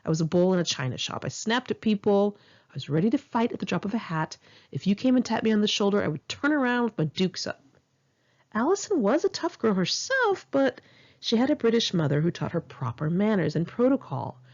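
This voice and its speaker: Woman reading her memoir out loud, kinda raspy, really animated up and down, dull lo-fi sound. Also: mid-range voice, fairly quick. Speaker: author reading own memoir. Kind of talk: scripted memoir narration for an audiobook, read in one take.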